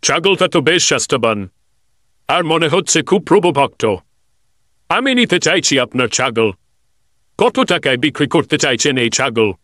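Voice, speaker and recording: A man acts out a curious village buyer in a comedy cartoon. His voice sits a bit high, with a coaxing, inquisitive way of talking. The recording is dry, without room sound. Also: lively intonation, normal speed, long pauses, fairly bright tone, no room sound. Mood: haggling, coaxing.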